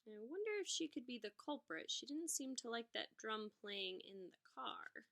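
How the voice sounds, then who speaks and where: Woman, higher-pitched, bright tone, teacher reading to kids, expressive, explanatory asides. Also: moderate pace. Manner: expressive, explanatory, addressing young children. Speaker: music teacher reading aloud to pupils. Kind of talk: children's storybook read-aloud with asides.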